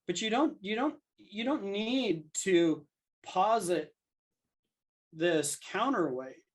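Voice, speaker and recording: A man's voice: sits high, lively intonation, slow. A man speaking as a podcast guest, thinking aloud in a conversational, discursive way and voicing imagined exchanges to make his arguments. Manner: discursive, argues through imagined dialogue.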